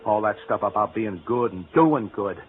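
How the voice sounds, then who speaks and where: An actor playing a troubled, defiant ex-convict with curt, agitated street talk in a 1940s radio drama. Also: male voice, lowish voice, animated, moderate pace, narrow, tinny audio, lightly acted. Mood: bitter, defiant. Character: bitter, troubled male ex-convict, defiant and brooding. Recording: thin, slightly noisy old radio recording.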